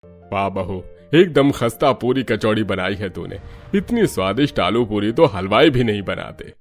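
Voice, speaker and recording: Man as a low-voiced older father-in-law. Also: animated, moderate pace, faint music behind. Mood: mild. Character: grumbling father-in-law, poor older vegetable seller. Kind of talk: Hindi cartoon story acting.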